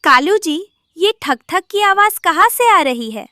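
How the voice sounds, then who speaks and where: A woman's voice: very high-pitched, lively intonation, a little slow, sharp tone, no echo, clean studio sound, full character voice. A woman voicing a scheming, sneering female crow in a cartoon story. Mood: alarmed.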